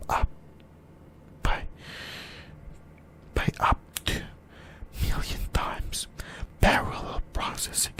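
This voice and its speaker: Someone whispering in a breathy, unvoiced voice, giving an informal ASMR-style fan discussion of anime with some mild swearing. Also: fairly bright tone. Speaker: YouTuber, host of an anime fan channel.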